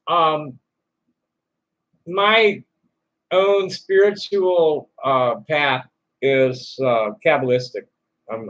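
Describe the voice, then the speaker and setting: A man hosting a live call-in stream, rambling in a halting way with many fillers and restarts. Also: medium pitch, fairly bright tone, low-quality recording. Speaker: livestream host answering chat questions. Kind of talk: rambling casual chat. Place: Tucson, Arizona.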